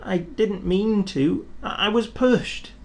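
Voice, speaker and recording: A cheeky little tank engine with a high, piping voice, put on by a man reading a storybook aloud. The voice is lively and bouncy. He sounds small, eager and keen to prove himself. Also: takes it slowly, full character voice.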